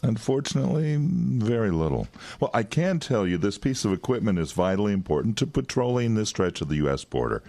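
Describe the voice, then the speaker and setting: An actor playing a wry, quietly menacing intelligence agent in an audio drama, talking in an insinuating, condescending way. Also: male voice, on the low side, sing-song, brisk, few pauses. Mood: guarded, persuasive. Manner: insinuating, condescending, with chuckles and hesitations.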